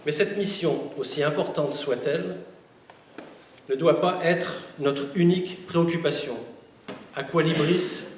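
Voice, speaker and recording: A man speaks as a local official at a ceremony, reading a prepared speech in measured, oratorical phrases. His voice is a little high. It comes from some distance in an echoey space with faint noise. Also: steady tone. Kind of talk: formal prepared inauguration speech.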